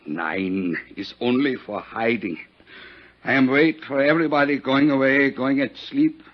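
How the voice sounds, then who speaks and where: A man's voice: mid-range voice, a little slow, natural voice. A man playing a flustered German-accented diamond dealer. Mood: flustered, protesting. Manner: mangled English grammar, fussy protesting. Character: flustered, indignant European male diamond dealer. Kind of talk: 1950s radio comic character acting. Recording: dull vintage 1950s radio recording.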